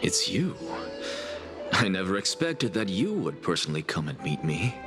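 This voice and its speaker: Aloof, scornful scholar. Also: male voice, deep voice, very animated. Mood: cool. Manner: cool, measured, scornful. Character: aloof male scholar and strict teacher, disdainful. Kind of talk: studio game voice acting. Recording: game cutscene with music behind.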